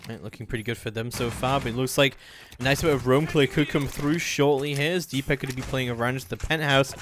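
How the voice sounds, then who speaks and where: A man's voice: medium pitch, steady tone, fast, noisy background. A man doing live play-by-play commentary on a competitive video game match.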